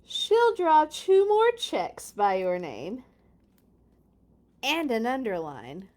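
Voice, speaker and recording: A woman reading a children's storybook aloud in a playful, expressive way, with casual chatty asides. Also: fairly high pitch, takes it slowly. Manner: playful, expressive, exclaims and comments while reading.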